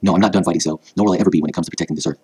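A man's voice: deep voice, fairly even intonation, very fast, darkish tone, slightly rough, no room sound. A man voicing an earnest, determined teenage magical warrior girl in an anime fan story, with exclamatory delivery.

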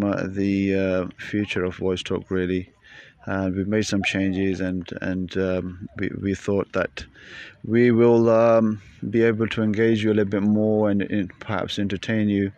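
A man's voice: lowish voice, a little mellow, no room sound. Hesitant British man hosting a podcast. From Britain. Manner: hesitant, full of fillers. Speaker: podcast host. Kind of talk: casual podcast chat. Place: outdoors in a busy public spot.

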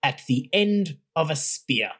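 A woman's voice: low-pitched, sing-song, slow, slightly rough, voice pushed up, heavily acted. A narrator voicing a stern, commanding woman who heads an imperial academy, speaking with cutting scorn. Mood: scornful.

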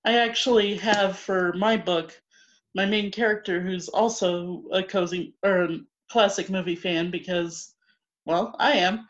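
A woman's voice: medium pitch, steady tone, a little slow. Eager woman podcast host, lo-fi sound. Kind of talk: casual interview chat. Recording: dull lo-fi audio.

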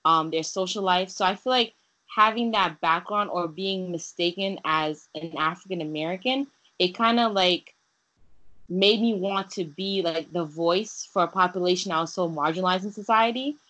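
A woman's voice: lowish voice, moderate pace, sharp tone, rough recording. A young woman from Haiti, a guest in a casual interview, talking earnestly with many fillers and restarts. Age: young adult.

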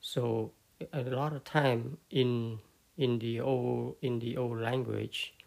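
A man with a Cambodian accent giving an unscripted Buddhist talk, halting often with fillers and restarts. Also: mid-range voice, flat delivery, vocal fry, a little mellow. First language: Khmer. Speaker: Buddhist teacher.

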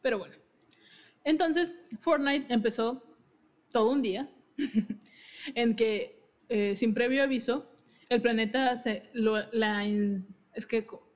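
A woman's voice: fairly high pitch, takes it slowly, long pauses. A young woman hosting a podcast, casually explaining game lore with frequent giggles and restarts. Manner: giggly, chatty, self-interrupting. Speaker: podcast host. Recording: dull lo-fi audio.

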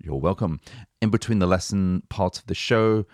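A man's voice: low-pitched, normal speed, darkish tone, no room sound, studio quality. A man hosting a language-learning podcast, upbeat and chatty, laughing often. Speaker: podcast host. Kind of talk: casual podcast chat.

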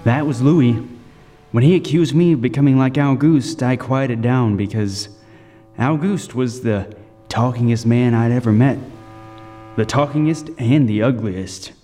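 A man playing a lighthouse keeper, narrating a radio drama in a brooding, lyrical, deliberate way with dramatic emphasis. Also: medium pitch, warm tone, natural voice. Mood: wry. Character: world-weary, vivid storytelling lighthouse keeper, male. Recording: long reverb, music behind.

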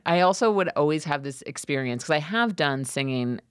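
A woman with a strikingly low, deep voice chatting casually, self-deprecating and often trailing off with ums. The sound is close and dry, with no room in it. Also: expressive, normal speed, clean tone, no room sound. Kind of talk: casual chat.

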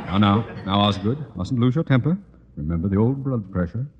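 An actor in an old-time radio comedy playing a pompous, short-tempered tyrant of a boss, mock-polite and gloating. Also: male voice, fairly low pitch, lively intonation, brisk, highs cut off, noisy background, close to an everyday voice. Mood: self-soothing. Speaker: supporting actor in a radio sitcom. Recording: reverberant vintage radio stage recording.

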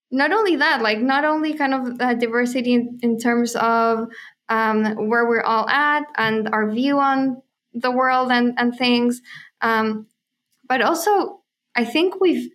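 A woman's voice: a little high, a bit bright. A woman from Chile, a native Spanish speaker, hosting a podcast and thinking aloud in short, hesitant fragments. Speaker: podcast host, crypto journalist. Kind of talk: casual chat.

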